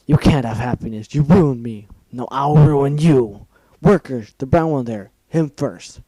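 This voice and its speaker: A man's voice: mid-range voice, moderate pace, dark tone, no echo, lightly acted, low-quality recording. A man voicing a cruel, bitter female pegasus villain who screams accusations in a fan story reading. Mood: enraged.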